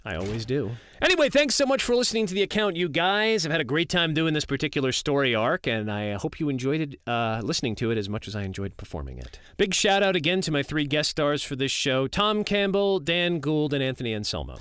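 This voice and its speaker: A man's voice: a little high, big pitch swings, brisk, hardly any pauses, a bit bright, clean tone, no room sound. A man hosting his audio drama podcast, giving credits and chatting directly to listeners. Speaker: audio drama creator and host. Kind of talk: podcast outro, friendly direct address.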